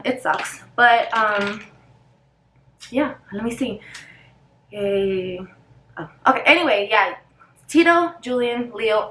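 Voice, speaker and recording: A woman's voice: medium pitch, a bit bright. Chatty woman in an echoey room. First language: Spanish. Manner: chatty, rambling, loses her place and restarts; talks while doing her makeup. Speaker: vlogger. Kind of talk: casual get-ready-with-me chat.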